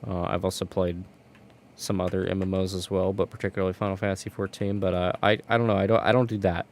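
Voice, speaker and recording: A man's voice: deep voice, little pitch movement, quick pace, a little hiss or hum. A man streaming from home, chatting casually while gaming, rambling and restarting his words.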